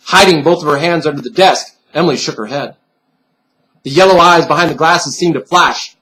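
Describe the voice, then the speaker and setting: Higher-pitched male dramatic narrator. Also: fairly quick, bright, forceful tone, low-quality recording. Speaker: author reading their novel.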